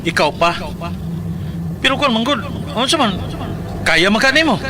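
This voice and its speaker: A brash young buddy who talks loudly and playfully jabs at his friend, his voice pitched strikingly high and swinging all over, as a man acts him out. He sounds like he's outdoors, with clear traffic noise and car sounds behind him in this radio play. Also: very animated, moderate pace. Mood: teasing. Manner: loud, teasing, ends in a shout. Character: young man, Noel's loud teasing best friend. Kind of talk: radio drama acting. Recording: car and street noise outside.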